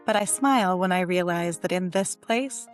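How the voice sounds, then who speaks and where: A woman poet reading her own poem aloud in a measured, deliberate way. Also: fairly low pitch, soft background music. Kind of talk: poetry reading.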